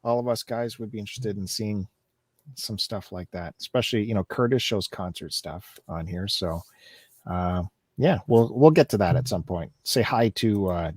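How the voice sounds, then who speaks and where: A man's voice: lowish voice, normal speed, a little mellow, no room sound, studio quality. A man hosting a casual live-stream chat, upbeat and teasing what is coming up on the show. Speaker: host of a collectors' live chat.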